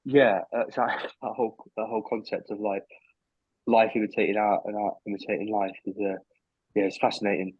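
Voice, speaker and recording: A man hosts a podcast chat, his questions coming out in halting bits, restarts and little laughs. His voice sits low, and the sound is dry and dull, as if through a lo-fi line. The delivery stays loose and informal. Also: little pitch movement, slightly rough, no echo. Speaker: podcast host. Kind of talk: casual chat.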